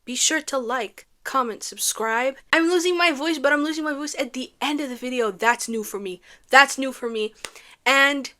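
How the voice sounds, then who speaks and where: A young woman reviewing a movie in a casual comedic vlog, animated and sarcastic, ranting with rhetorical questions. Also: high-pitched, normal speed.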